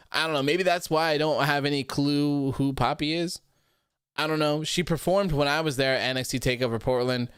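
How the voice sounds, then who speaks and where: Thirtyish guy rambling through stream chat, smooth clear voice, dry sound. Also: medium pitch, a bit bright, dry recording. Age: 30s. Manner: loose and chatty, lots of likes and ums. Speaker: podcast host reading live chat. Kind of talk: casual livestream chat.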